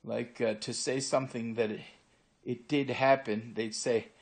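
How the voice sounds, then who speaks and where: A man's voice: mid-range voice, fairly even intonation. Rambling older man with Pennsylvania Dutch accent. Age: 60s. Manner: rambling, unhurried, many filler pauses. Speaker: vlogger. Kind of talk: casual walk-through monologue. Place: his own room indoors. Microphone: phone camera held by speaker.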